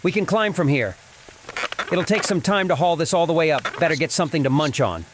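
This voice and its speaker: Man playing a higher-voiced easygoing gnome fellow. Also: expressive, fairly quick, background noise, lightly acted. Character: easygoing, stoic male gnome wagon driver. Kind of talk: dramatized fantasy audio story acting.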